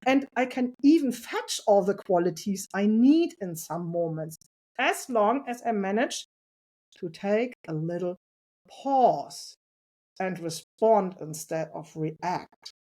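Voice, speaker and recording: Earnest reflective woman guest. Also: medium pitch. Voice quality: loud voice. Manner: earnest and reflective, with light laughs. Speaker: podcast guest, parent. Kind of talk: casual interview conversation.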